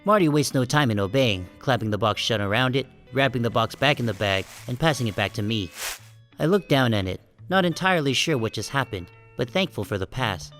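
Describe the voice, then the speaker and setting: A man's voice: mid-range voice, expressive, fairly quick, fairly bright tone, soft background music, natural voice. A man playing a wary, resentful sheep who narrates his own story in a wry, guarded audiobook style. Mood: wry, uneasy. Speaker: first-person narrator and protagonist. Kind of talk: scripted first-person audiobook narration.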